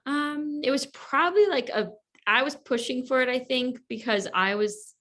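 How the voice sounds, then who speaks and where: A woman's voice: high voice, normal speed, a bit bright. Chatty woman in her twenties. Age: 20s. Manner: chatty, with lots of fillers like "like". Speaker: podcast guest. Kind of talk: casual interview chat.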